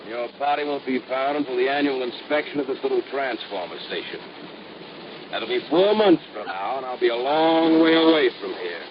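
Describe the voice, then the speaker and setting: A man's voice: medium pitch, expressive, moderate pace, natural voice. An actor playing a menacing, gloating madman killer in an old radio crime drama, threatening and taunting. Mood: cold menace. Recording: muffled, very noisy vintage radio recording.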